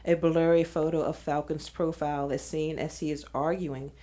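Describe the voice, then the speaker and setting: A woman's voice: deep voice, level tone, normal speed, mellow. A woman reading scene directions as a scripted narration.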